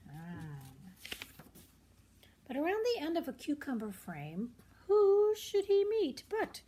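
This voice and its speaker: An older woman reads a children's picture book aloud expressively, pausing to explain things simply to young listeners. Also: mid-range voice, warm tone, breathy edge. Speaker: storyteller grandmother reading aloud.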